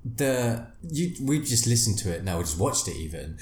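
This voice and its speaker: A man co-hosting a casual podcast chat, excitable and quick to interject with jokes. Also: on the low side, a little hiss or hum. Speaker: podcast co-host. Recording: roomy echo.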